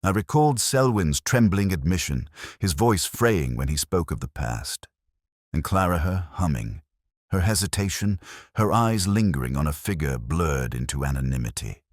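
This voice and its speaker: A man playing a weary, brooding older detective who narrates his case in measured, literary, introspective mystery prose. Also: a bass voice, no echo, studio quality. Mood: grave, contemplative. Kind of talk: scripted first-person mystery narration.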